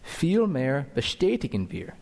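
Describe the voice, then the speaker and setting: An American man whose first language is English, preaching a sermon and reading scripture, careful and deliberate, with fillers and self-corrections. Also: medium pitch, huge pitch swings, vocal fry, a little mellow. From United States. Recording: long hall reverb.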